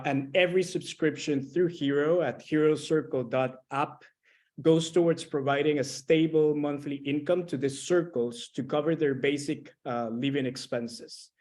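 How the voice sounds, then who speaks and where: A man from Costa Rica, explaining an initiative as a guest panelist. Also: medium pitch. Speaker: guest panelist, co-founder of a climate platform. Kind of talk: explanatory webinar presentation.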